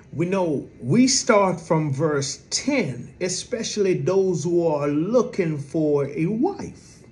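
A soft-voiced man delivering a sermon, his pitch riding high and swinging widely. He takes his time, and he talks to the congregation in a chatty, easygoing way. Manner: lively, conversational preaching with fillers. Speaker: preacher.

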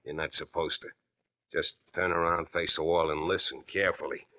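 A cocky American crook from a vintage radio crime drama. His voice is low and forceful, with a hard, sharp edge. He speaks in smug, menacing slang. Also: level tone, normal speed, a little hiss or hum. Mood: commanding. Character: tough, cocky male crook with a gun.

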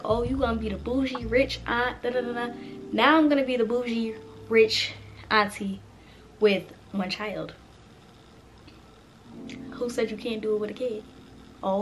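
A woman, chatting casually to camera as a vlogger, animated and talking straight to viewers, a little stuffy from a cold. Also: medium pitch. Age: 20s. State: congested with a cold.

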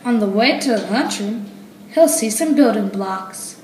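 A woman reading a children's picture book aloud, deliberately and phrase by phrase. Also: fairly high pitch, animated, a little mellow, a little hiss or hum, rough recording. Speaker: storybook reader.

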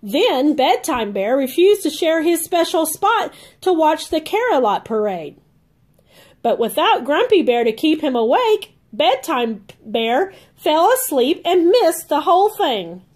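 A woman reading a children's story aloud as a read-along host, expressive and sing-song, with careful enunciation. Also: high-pitched, measured pace.

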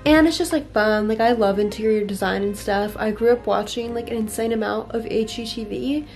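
A woman's voice: a little high, a little fast, a little mellow, soft background music. A young woman in her late teens, congested with a cold, chatting casually and upbeat to a handheld camera at home, full of "like". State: congested, stuffy-nosed. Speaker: vlogger. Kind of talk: casual vlog chat. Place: sunroom at home, indoors. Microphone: camera held at arm's length.

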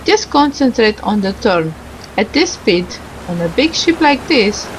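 A woman's voice: a little high, big pitch swings, a little slow, slight background noise, rough recording. A woman doing casual game commentary, reading a visual novel's dialogue aloud and stumbling over some words with self-corrections and asides. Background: music.

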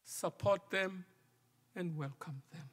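A middle-aged male minister preaching a sermon from the pulpit, in a measured style that sometimes drops to a near whisper for emphasis. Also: high voice, fairly bright tone, breathy edge. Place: large wooden church sanctuary, at the pulpit. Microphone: pulpit microphone. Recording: long church reverb.

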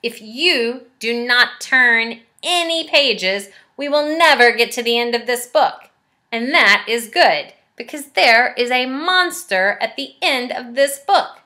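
A woman's voice: fairly high pitch, animated, takes it slowly, sharp tone, exaggerated voice. A woman voicing a timid, lovable furry male storybook monster in a pleading, melodramatic manner. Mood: anxious pleading. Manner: pleading, melodramatic, exaggerated emphasis.

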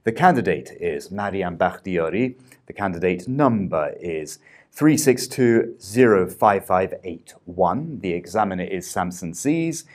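A man in his thirties with a very low voice asks scripted exam questions in a brisk, formal way. He is recorded from a distance in a small office. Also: lively intonation, a little mellow. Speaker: examiner.

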